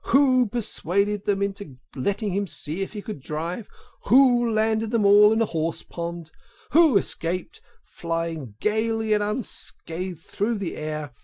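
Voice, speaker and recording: A man voicing a vain, excitable fat toad from a storybook, given to boastful and self-pitying exclamations. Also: high voice, slow, voice pushed up. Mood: gleeful, boastful. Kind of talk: dramatised storybook character reading.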